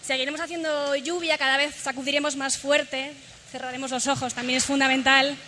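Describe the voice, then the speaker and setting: Bright-voiced woman leading a workshop. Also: sits high, level tone, brisk, a little hiss or hum. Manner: instructive, guiding a group. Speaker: workshop leader. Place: large hall. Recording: echoey hall, faint ambience.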